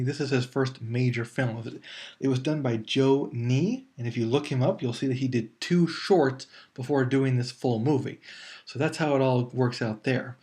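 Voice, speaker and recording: A man's voice: mid-range voice, a little mellow. A man hosting a casual, unscripted review show, rambling informally and chattily as he talks straight to his viewers. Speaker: movie review show host.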